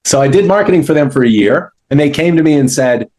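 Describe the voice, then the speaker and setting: A man's voice: a little high, animated, fast, darkish tone. A man in his fifties telling his career story in an interview, acting out the remarks of people he quotes as he goes. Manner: anecdotal, acts out quoted dialogue. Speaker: sales entrepreneur.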